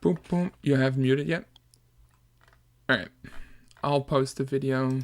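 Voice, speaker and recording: Young man, danish accent, relaxed, chuckles a lot, home studio. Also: medium pitch, slow. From Denmark. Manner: laid-back, laughs often, hesitant restarts. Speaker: music producer streamer. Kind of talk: casual chat while producing.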